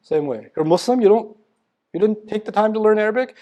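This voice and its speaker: A man's voice: sits high, animated, fairly quick, warm tone. A man giving a motivational religious lecture, emphatic and blunt, firing rhetorical questions and teasing his listeners. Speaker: Islamic lecturer.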